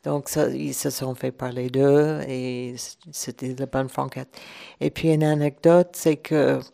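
A woman's voice: extremely low pitch, steady tone, measured pace, vocal fry, warm tone. A woman from Ireland, speaking French as an as a radio guest, hesitant and searching for words. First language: English. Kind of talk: relaxed radio chat.

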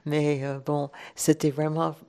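A woman's voice: low voice, little pitch movement, unhurried, darkish tone, clear, resonant tone. A woman from Ireland, speaking French as an as a radio guest, hesitant and searching for words. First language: English. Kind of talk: relaxed radio chat.

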